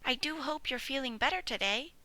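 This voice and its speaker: An eager, polite little piglet from a storybook, read by a woman pitching her voice up. He sounds high, bright and earnest, always mannerly. The sound is crisp and close, with no room echo. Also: lively intonation, brisk, exaggerated voice. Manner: high, bright and polite, squeaky when upset. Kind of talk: storybook reading.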